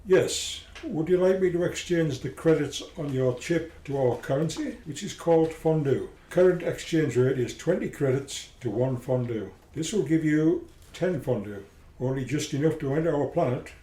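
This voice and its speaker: A man's voice: on the high side, normal speed, dark tone, natural voice. A man playing a curt furry alien customs officer. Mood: officious. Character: purple-furred alien customs officer on eyestalks, male. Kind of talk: amateur radio drama acting. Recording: roomy echo.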